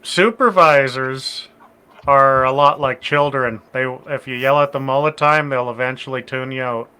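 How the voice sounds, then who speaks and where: A man chatting as a guest, giving calm, measured advice. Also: medium pitch, smooth. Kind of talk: casual chat.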